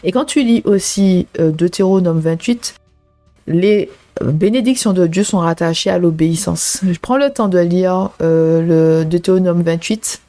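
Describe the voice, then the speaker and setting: Low, mellow woman, casual faith podcast. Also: measured pace, faint music behind. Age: 30s. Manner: casual and personal, many "euh" and "hein" fillers, preaching tone. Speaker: podcast host. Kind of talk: faith podcast talk addressed to listeners.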